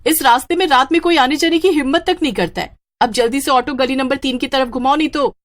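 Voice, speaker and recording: A woman's voice: sits high, fast talker, pitched above the performer's own voice, lightly acted. A woman voicing a frightened, indignant young woman passenger in a horror story, scolding and urgent.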